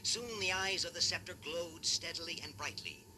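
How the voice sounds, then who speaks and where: A man narrates an adventure storybook in a steady, measured read-aloud voice, pitched a little high for a man. A loud hiss of noise sits under the whole home recording. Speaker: storyteller, channel host.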